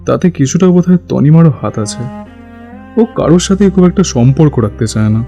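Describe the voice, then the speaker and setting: A sorrowful father, dark mellow voice. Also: fairly high pitch, lively intonation, a little fast, creaky. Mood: confiding, worried. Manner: confiding, earnest. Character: sorrowful, confiding middle-aged father of a grown daughter. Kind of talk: audio drama acting. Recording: music under the voice.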